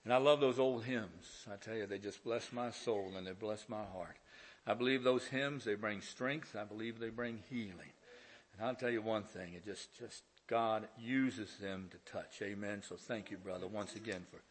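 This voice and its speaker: A man leading a church service, introducing the preacher and reminiscing in a folksy, warm way about old hymns. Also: lowish voice, normal speed. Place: church sanctuary. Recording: church recording with background noise.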